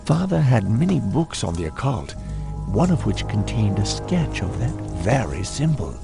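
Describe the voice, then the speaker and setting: An actor playing an ailing, haunted lord of a cursed manor, telling his tale gravely and ominously in a dramatic game cutscene. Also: on the low side, very animated, moderate pace, music in the background. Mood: ominous recollection.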